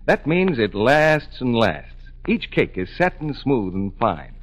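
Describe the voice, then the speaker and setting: An American man reads scripted radio ads as a 1940s announcer. His voice is husky and very varied in pitch, with polished emphasis. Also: rough recording.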